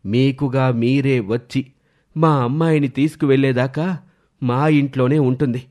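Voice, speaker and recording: A stern middle-aged father from a family drama story, acted by a man. He is protective, firm and deliberate, with a mid-pitched voice. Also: fairly even intonation, a little mellow, a little hiss or hum, natural voice.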